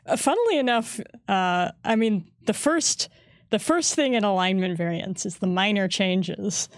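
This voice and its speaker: A woman's voice: medium pitch, unhurried, no echo, clean studio sound. A woman who writes for tabletop games, chatting casually on a livestream, with frequent laughs and lots of "like". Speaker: game writer co-host.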